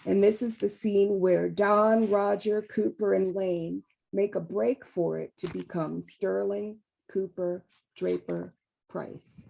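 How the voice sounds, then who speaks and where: Slow, creaky-voiced woman announcer. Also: medium pitch, steady tone, dark tone, low-quality recording. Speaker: announcer of the reading. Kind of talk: scripted introduction.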